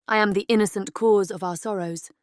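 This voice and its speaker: A woman's voice: mid-range voice, steady tone, fairly quick, smooth, no room sound, studio quality, natural voice. A woman playing a devoted young fairy-tale princess in a dramatized audiobook, speaking in formal, old-fashioned phrases. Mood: sorrowful. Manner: formal, archaic storybook phrasing. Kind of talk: dramatized audiobook character acting.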